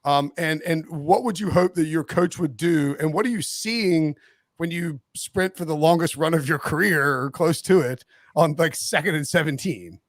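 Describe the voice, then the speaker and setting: Higher-voiced man hosting a casual sports podcast. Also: normal speed, no echo, clean studio sound.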